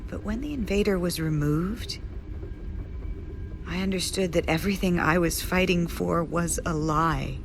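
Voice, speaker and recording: An actress playing a bitter, resolute estranged lover turned zealot in an audio drama, speaking solemnly with weighty pauses. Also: female voice, fairly low pitch, vocal fry, noisy background. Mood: troubled, confessing.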